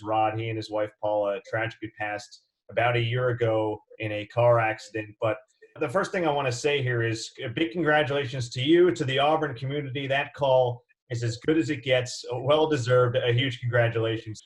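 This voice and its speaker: A man's voice: mid-range voice, steady tone, moderate pace, rough recording. An American man hosting a sports interview with an emphatic, presenterly delivery. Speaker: interview host.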